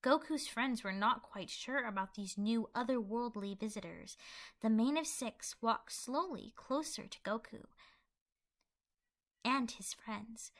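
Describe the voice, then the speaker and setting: High, bright-voiced woman narrating a story. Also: unhurried. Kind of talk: scripted story narration of fan fiction.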